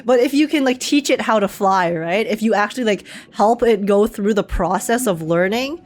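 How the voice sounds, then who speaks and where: A woman streamer giving casual, slangy live reaction commentary on a game, thinking aloud in an animated way. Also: mid-range voice, moderate pace, soft background music.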